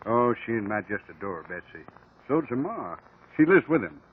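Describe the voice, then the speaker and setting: An actor playing a plainspoken small-town American sheriff in a 1950s radio drama, with rustic, ungrammatical country talk. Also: male voice, mid-range voice, big pitch swings, normal speed, husky, narrow, tinny audio. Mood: grave, matter-of-fact. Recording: old band-limited radio recording.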